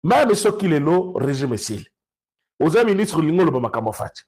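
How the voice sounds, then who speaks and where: A man with a Congolese accent and a husky voice, giving emphatic, unscripted political commentary and repeating phrases for stress. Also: fairly high pitch, big pitch swings, moderate pace, a little mellow. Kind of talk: unscripted political commentary, switching between two languages.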